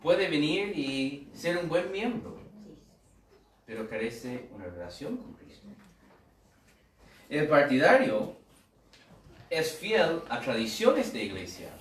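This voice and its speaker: A man's voice: on the high side, very animated, slow pace, pauses often, roomy sound, recorded from a distance. A man from the United States with an American accent, preaching a sermon in a joking, conversational way with rhetorical questions and asides. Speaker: pastor.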